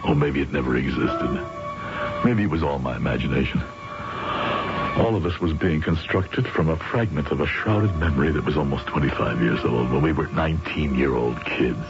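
Anxious low-voiced middle-aged radio drama salesman. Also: normal speed. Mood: brooding. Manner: hesitant, deferential with his boss. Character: anxious middle-aged salesman, deferential, uneasy, haunted by memory. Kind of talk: old-time radio drama acting, with narrated inner thoughts. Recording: dull old radio recording, music behind.

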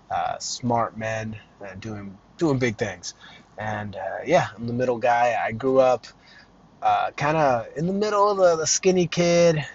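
A man's voice: mid-range voice, lo-fi sound, slight background noise. A man around thirty, raised in Arizona, hosting a casual solo podcast and rambling with lots of fillers and restarts. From Arizona, United States. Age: 30s. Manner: rambling, many fillers and restarts, self-deprecating. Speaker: podcast host.

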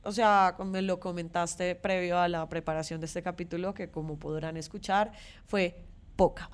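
A woman's voice: lowish voice, steady tone, moderate pace, clean tone. A woman with a Colombian accent co-hosting a casual, informal podcast chat, often hesitating and repeating words as she thinks. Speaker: podcast co-host. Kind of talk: casual chat about pop culture.

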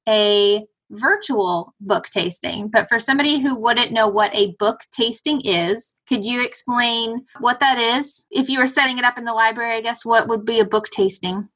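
A woman's voice: on the high side, moderate pace, few pauses, pushed and bright, low-quality recording. A woman hosting an interview, asking questions in a friendly, encouraging way. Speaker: podcast host. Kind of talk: casual interview.

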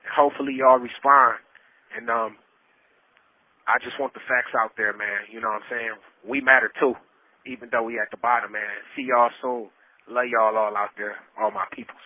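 A man speaks over a telephone with a bright, forward voice at a middling pitch. He tells his own story plainly and colloquially, with an earnest, pleading delivery. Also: fairly even intonation, moderate pace, dry recording. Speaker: storyteller, incarcerated caller. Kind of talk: personal testimony over a phone call. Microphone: phone handset. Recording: telephone line from prison.

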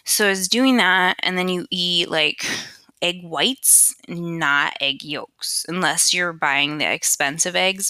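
A woman's voice: deep voice, bright, forceful tone, clean tone, no echo. Chatty woman podcaster on a headset mic. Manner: chatty, rambling, filler-heavy. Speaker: podcast host. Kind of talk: casual solo podcast chat. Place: home, at a PC. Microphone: USB computer headset. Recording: dry close headset mic.

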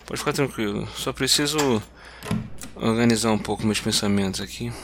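A man's voice: on the low side, natural voice. An actor with a Brazilian accent playing a shaken, weary young man in a naturalistic audio drama, muttering repetitively. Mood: weary, reassuring. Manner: repetitive, hurried reassurances, muttering. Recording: noisy track with clicks.

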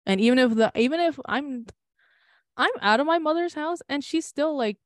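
A woman's voice: high voice, expressive, normal speed, dry recording. Candid young woman, dry close sound. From United States. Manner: candid and rambling, swearing and laughing often. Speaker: podcast co-host. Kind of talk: casual chat.

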